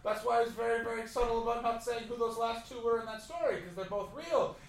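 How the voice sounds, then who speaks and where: A Canadian man doing stand-up crowd work, teasing the audience with brash, rapid riffs and mock voices. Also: extremely high pitch. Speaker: stand-up comedian. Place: comedy club stage. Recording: roomy live club recording from a distance. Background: audience laughter.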